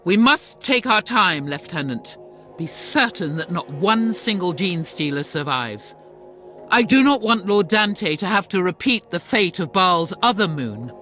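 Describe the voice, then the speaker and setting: An actress voicing a devout, zealous warrior nun with a stern, commanding delivery. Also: female voice, fairly low pitch, very animated, measured pace, fairly bright tone, faint music behind. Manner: fervent, commanding. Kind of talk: video game cutscene voice acting.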